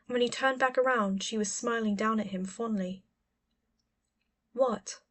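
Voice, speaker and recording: A woman's voice: fairly high pitch, moderate pace, fairly bright tone. A woman narrating a story as an audiobook reader, in scripted prose.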